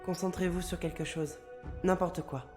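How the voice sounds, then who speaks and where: A woman playing a composed telepath consultant in an audio drama, calm, direct and businesslike. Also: on the low side, moderate pace, over music, close to an everyday voice. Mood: calm, respectful.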